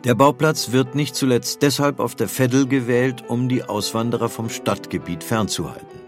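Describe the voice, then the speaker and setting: A man's voice: mid-range voice, normal speed, over music. A man reads scripted documentary narration as a narrator, in pronunciation.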